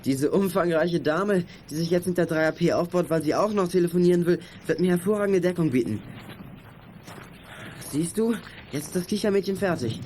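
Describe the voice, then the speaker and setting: A man's voice: high voice, normal speed, a little mellow, close to an everyday voice. A male actor playing a clever, eager teenage boy detective in a children's audio drama, wordy and self-assured. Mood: eager, scheming. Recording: noisy outdoor drama ambience.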